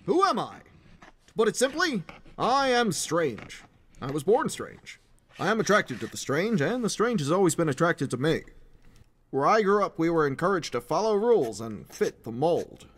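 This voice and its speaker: An eccentric, obsessive middle-aged male researcher of the paranormal, acted out by a man, narrates his journal for an audiobook. His voice sits a little high and swings widely in pitch. The delivery is theatrical, learned and emphatic. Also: very animated, moderate pace. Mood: reflective. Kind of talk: dramatic first-person audiobook narration.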